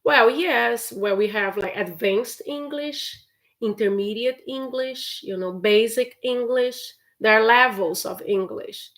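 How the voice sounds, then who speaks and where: A woman's voice: fairly high pitch, takes it slowly, fairly bright tone. A woman with a Brazilian accent, a native Portuguese speaker, answering questions as an English teacher in a chatty, encouraging live Q&A. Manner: encouraging, chatty, laughs often. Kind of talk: live stream Q&A.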